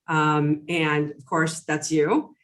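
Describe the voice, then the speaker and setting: Woman, podcast host, eager and chatty, lower register, video-call interview. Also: level tone, takes it slowly. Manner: bubbly, enthusiastic, rambling with fillers. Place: Chicago.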